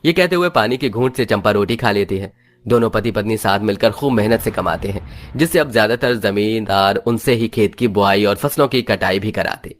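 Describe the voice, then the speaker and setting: A man's voice: lowish voice, moderate pace. A man narrating a scripted moral story in a dramatic storytelling style.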